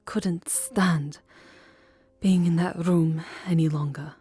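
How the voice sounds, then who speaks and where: A woman playing a lovelorn, melancholy vampire countess in an ASMR roleplay, speaking softly, formally and hesitantly, often near a whisper. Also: on the low side, steady tone, takes it slowly, breathy edge, faint music behind, full character voice. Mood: embarrassed, weary. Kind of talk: ASMR roleplay voice acting, second-person romance.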